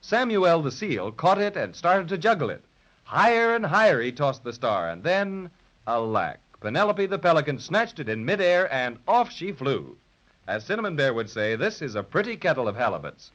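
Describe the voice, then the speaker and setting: A man recaps a children's serial in a storyteller's manner, his pitch rising and falling freely. The sound is an old, muffled 1930s radio transcription. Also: sing-song, normal speed, a bit bright. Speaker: narrator.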